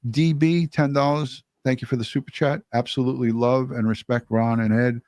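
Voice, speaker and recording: A man co-hosts a livestream and reads viewer messages aloud in a blunt, offhand way. He swears freely. His voice is mid-pitched and recorded dry, with no room sound. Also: fairly even intonation, normal speed, darkish tone, no room sound. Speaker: livestream co-host. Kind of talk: casual chat reading viewer messages.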